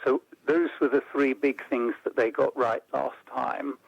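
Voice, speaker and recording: Man, measured explainer, radio guest, dull lo-fi sound. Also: mid-range voice, steady tone, vocal fry, no echo. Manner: measured, explanatory, builds lists. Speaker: interview guest, report author. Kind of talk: radio interview.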